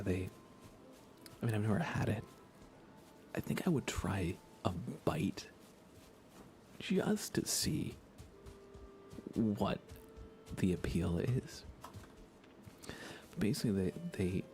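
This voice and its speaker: Soft-spoken, low-voiced man, ASMR chat. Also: creaky, slight background noise. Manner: soft-spoken, often dropping into a whisper. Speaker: livestreamer playing a video game. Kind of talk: casual ASMR livestream chat.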